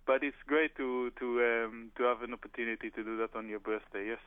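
A man's voice: medium pitch, fairly even intonation, a little fast, no echo. A man from Israel talks over a tinny, narrow phone line, his voice dry and close. He speaks hesitantly, with many "uh"s and restarts. He is a touring drummer answering interview questions.

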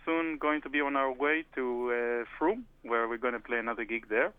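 A man's voice: mid-range voice, fairly quick, no echo. A man from Israel talks over a tinny, narrow phone line, his voice dry and close. He speaks hesitantly, with many "uh"s and restarts. He is a touring drummer answering interview questions.

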